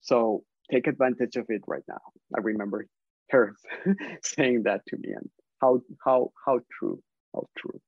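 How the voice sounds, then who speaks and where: A man speaks English with a Colombian Spanish accent, Spanish being his first language. He answers at an unhurried, reflective pace, often pausing with fillers and restarting phrases. The audio is somewhat dull and lo-fi, with no room sound at all. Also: mid-range voice, slightly rough, dry recording. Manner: thoughtful, hesitant, many restarts and fillers. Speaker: professor, guest. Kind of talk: conversational interview answers.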